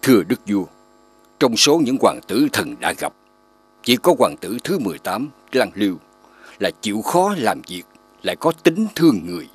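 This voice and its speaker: Formal, loyal royal envoy. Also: male voice, on the low side, very animated, moderate pace, husky. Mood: earnest, respectful. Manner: formal, respectful. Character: loyal, deferential royal envoy reporting to the king. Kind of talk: animated fairy-tale acting.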